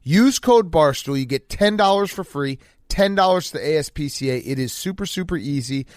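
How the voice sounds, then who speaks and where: A man's voice: a little high, expressive, fairly quick, no room sound, clean studio sound. A sleep-deprived man hosting a podcast with casual, blunt, slangy sports banter and joking. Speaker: podcast host.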